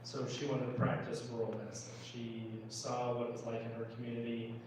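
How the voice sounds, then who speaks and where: A man's voice: medium pitch, completely flat delivery, fairly quick. American man in a very echoey room. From United States. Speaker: congressional candidate speaking at a town hall. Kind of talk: informal personal political speech. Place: indoor town hall meeting room. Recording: echoey room, distant mic, background noise.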